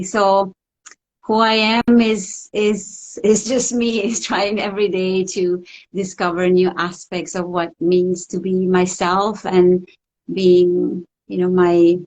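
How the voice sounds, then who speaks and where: A middle-aged woman from the Italian part of Switzerland with a Swiss Italian accent, speaking as an interview guest in a reflective, halting way with soft laughs. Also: medium pitch, rough recording. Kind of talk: casual interview over a call.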